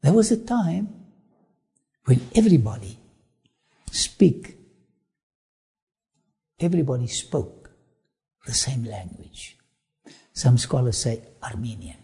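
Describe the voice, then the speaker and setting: A man with an Afrikaans accent, whose first language is Afrikaans, presenting a travel documentary with sermon-like asides, in slow, emphatic, drawn-out phrasing. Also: medium pitch, animated, creaky, dark tone, breathy edge.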